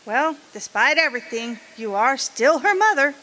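A woman's voice: high-pitched, lively intonation, moderate pace, pushed and bright, slight background noise. A woman playing a stern, judgmental, prim mother who scolds, in a radio drama. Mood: softened.

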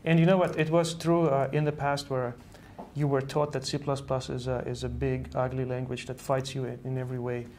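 A man's voice: mid-range voice, little pitch movement, fairly quick, few pauses, darkish tone, a little hiss or hum. A man in his thirties from Estonia, speaking as an earnest guest in a studio interview, often repeating words as he explains. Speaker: guest, software engineer. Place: virtual news-style studio set.

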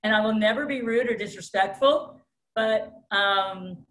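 A woman's voice: mid-range voice, unhurried, a bit bright, a little hiss or hum. A middle-aged woman from New York with a thick New York accent, a strength coach talking bluntly as a guest over a webcam call from a room at home. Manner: blunt, self-described alpha female. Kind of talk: casual interview talk. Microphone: webcam on video call. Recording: roomy video-call echo.

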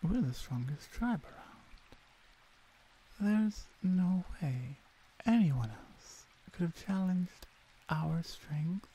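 A woman voicing a wary, protective orc huntress in an ASMR roleplay, soft and blunt with an unhurried delivery. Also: fairly low pitch, lively intonation, breathy edge, heavily acted, low-quality recording. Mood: proud, wary.

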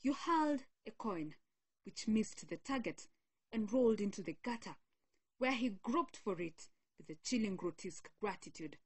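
A young woman reporter reading a scripted news feature in a measured, solemn way. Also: mid-range voice, lively intonation, husky.

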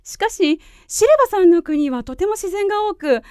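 Comedy audio drama: nervous, courteous woman interviewer played by an actress, very high voice, apologetic and flustered. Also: animated, moderate pace, a little mellow, dry recording, studio quality. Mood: forced cheer. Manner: hesitant, deferential, nervous politeness. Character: polite, flustered woman interviewer, easily thrown. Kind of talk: comedic Japanese voice drama acting.

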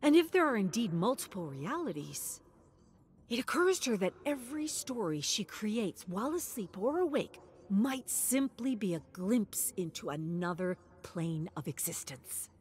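A woman narrator reading a scripted dramatic story in a measured, suspenseful way, with pauses. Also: mid-range voice, very animated, a little mellow, slight background noise.

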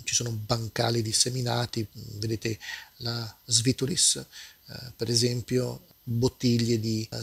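A man from Italy walking through supermarket aisles with a handheld phone camera, casually narrating shelf prices as a food vlogger, relaxed and hesitant with many fillers. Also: medium pitch, gravelly, slightly rough, no room sound.

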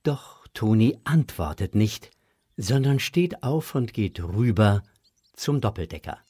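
A man's voice: on the low side, big pitch swings, slow, mellow, slightly rough. A man narrating a children's audio drama from a script.

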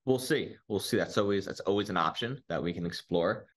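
A man's voice: sits low, brisk. A man in his thirties answering interview questions as an advocate on a video call from an office. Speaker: free speech advocate, interviewee. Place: small indoor office.

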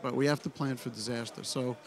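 A middle-aged man with a Brooklyn accent gives a blunt, animated seminar talk into a handheld microphone on a dark expo stage. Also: mid-range voice, fairly quick, creaky. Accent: Brooklyn New York. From United States. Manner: blunt, animated storyteller, full of "you know". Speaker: pinball company head giving a seminar. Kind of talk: informal conference talk.

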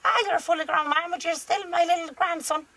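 A woman's voice: extremely high pitch, fairly even intonation, fast talker, bright, forceful tone, dry recording. Woman playing a bossy old Irish granny ghost. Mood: teasing. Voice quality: blunt, tart, teasing. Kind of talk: student radio drama acting. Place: large private house.

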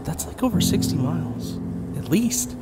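A man's voice: on the high side, lively intonation, moderate pace, very noisy, lightly acted. A man playing an impatient, wisecracking young sidekick in an audio drama, nervy and flippant. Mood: curious, flippant.

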